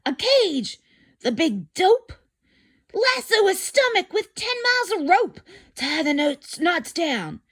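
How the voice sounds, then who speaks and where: A woman's voice: very high-pitched, very animated, takes it slowly, a bit bright, no room sound, clean studio sound, voice pushed up, heavily acted. A woman voicing a rowdy, bullying gang from a storybook, jeering and barking orders. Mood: aggressive.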